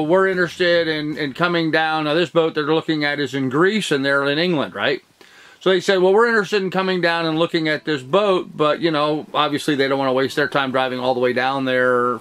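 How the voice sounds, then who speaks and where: Middle aged guy vlogging from a messy home office, kinda high voice, lots of ums. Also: a bit bright, clean tone. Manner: rambling, with many fillers and restarts. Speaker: vlogger, real estate broker. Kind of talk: casual talking-head vlog. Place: cluttered home office or storage room.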